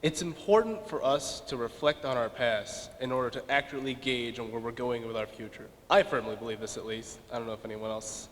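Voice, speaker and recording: A man's voice: mid-range voice, brisk, few pauses, a little hiss or hum. Joking young man speaking in a hall. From Las Vegas, Nevada. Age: young adult. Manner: self-deprecating, joking asides. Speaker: student speaker. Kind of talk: speech at a donor event. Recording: reverberant hall.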